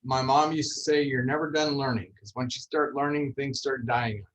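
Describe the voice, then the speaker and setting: A man walks a group through a training session over a video call. His voice sits at an ordinary middle pitch, and he keeps things relaxed and chatty, dropping jokes as he goes. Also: normal speed, poor audio. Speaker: MLS trainer. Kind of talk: casual online training session.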